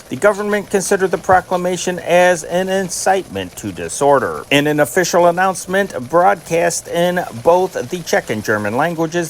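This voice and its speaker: Male newsreader, animated swooping intonation, formal old-time radio style, measured phrasing, noisy recording. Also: fairly high pitch. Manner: formal, measured announcer delivery with set-apart datelines. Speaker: news reader, channel host. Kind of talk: scripted news narration in old radio newscast manner.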